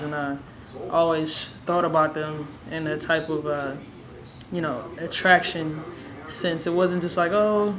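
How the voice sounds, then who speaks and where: A young woman with a raspy, hoarse voice telling a personal story in a relaxed, rambling way with many fillers. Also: low voice. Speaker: vlogger. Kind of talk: casual personal storytelling to camera.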